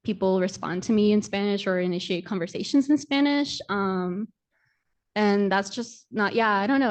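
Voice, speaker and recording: A woman on a panel talking casually and hesitantly, searching for words. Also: mid-range voice. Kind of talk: panel discussion.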